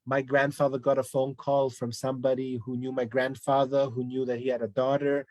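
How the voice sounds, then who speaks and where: A man from Denmark talks at an ordinary pitch, circling back on himself with restarts and fillers as he tells his family's history. The sound is close and dry, with no room around it. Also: level tone, brisk, a little mellow, no room sound. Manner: rambling, with many restarts and fillers. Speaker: guest. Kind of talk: casual online interview.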